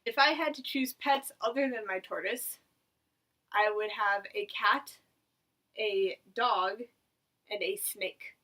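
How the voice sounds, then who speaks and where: A woman's voice: mid-range voice, slow pace, pauses often, sharp tone, distant mic, low-quality recording. A young woman of about eighteen from the United States, talking casually to her camera as a vlogger in a chatty, rambling, self-mocking way. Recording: voice a little away from the mic.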